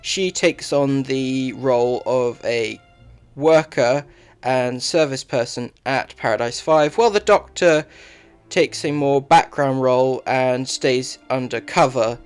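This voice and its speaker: A man's voice: medium pitch, takes it slowly, clear, resonant tone. Man narrating a review over music.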